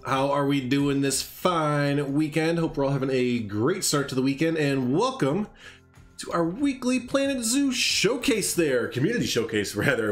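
A man with a congested, nasal voice hosting a casual live stream, chatting in a rambling way with lots of fillers. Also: a little high. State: congested, stuffed up. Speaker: streamer hosting a game showcase.

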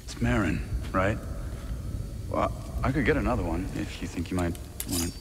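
A man's voice: low voice, moderate pace, long reverb tail, noisy background. A man playing an easygoing, practical mercenary ally in a video game, talking casually and amiably. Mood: friendly, persuasive. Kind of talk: cinematic video game acting.

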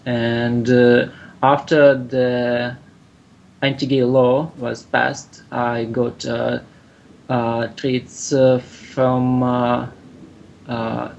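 A man in his thirties from Russia with a Russian accent, answering interview questions in halting English full of "uh" fillers, over a webcam video call in a small room. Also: mid-range voice, level tone, vocal fry, highs cut off, a little hiss or hum. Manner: halting, many "uh" fillers, searching for English words. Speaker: human rights activist, interviewee.

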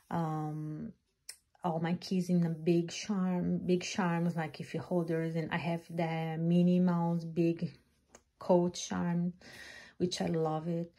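A Brazilian woman in her thirties with a Portuguese accent, reviewing a handbag in halting English and laughing often, recorded on a phone indoors. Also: lowish voice, warm tone. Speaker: purse reviewer. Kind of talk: casual product review. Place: small craft room indoors. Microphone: phone camera.

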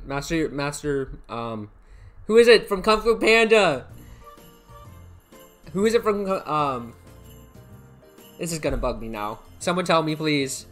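A man's voice: fairly high pitch, fairly bright tone. A young American man hosting a live game stream, chatting casually, reading out chat messages and thanking viewers by name over a headset mic. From United States. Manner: rambling, repeats himself, thanks viewers by name. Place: indoor room at a computer.

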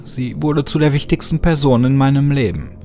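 A man reading a scripted first-person horror story dramatically, with brooding, deliberate phrasing. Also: mid-range voice, warm tone, a little hiss or hum. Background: music.

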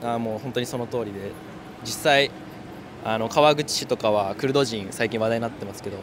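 A young man talks fast and emphatically in a mid-low voice. Clear street noise sits around him. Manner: quick, emphatic agreement. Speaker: interviewee. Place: city street outdoors at night.

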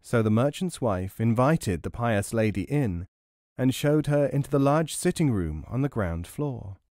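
Casting: male storyteller for audiobook, expressive and articulate, close and dry. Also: medium pitch, measured pace, no room sound. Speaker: audiobook narrator. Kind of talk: scripted storytelling narration.

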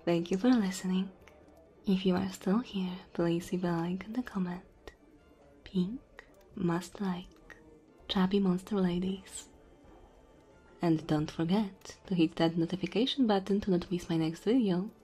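Woman, ASMR creator, very mellow, breathy half-whisper, slow gentle delivery, soft music behind. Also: fairly low pitch, fairly even intonation. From Slavic Europe. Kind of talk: whispery ASMR outro, reading thanks.

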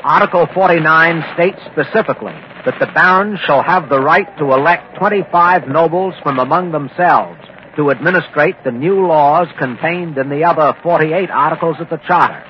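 An actor playing a studious radio news analyst, explaining formally in the style of the 1950s. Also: male voice, on the high side, moderate pace, natural voice. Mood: intrigued. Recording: muffled old radio, faint noise.

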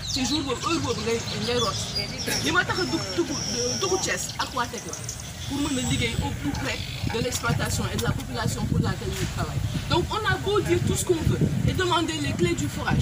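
Middle-aged Senegalese woman, company manager at a public meeting, animated and insistent; noisy outdoor field recording. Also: sits high. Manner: animated, switching between Wolof and French, gesturing with papers. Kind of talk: public address at a community meeting. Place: outdoors under a tree before a crowd. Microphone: far camera, no mic. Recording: loud outdoor noise, distant camera.

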